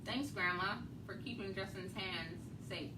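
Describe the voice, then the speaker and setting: A woman's voice: medium pitch, unhurried, very noisy. A woman hosting a casual cooking show, explaining each step and laughing often.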